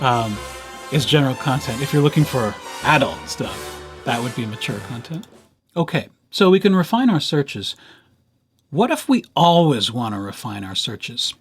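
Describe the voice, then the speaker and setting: Man with a lively, swooping tutorial delivery. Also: fairly high pitch. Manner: playful, dryly humorous asides. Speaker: library presenter, tutorial host. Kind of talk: casual screen-share software tutorial.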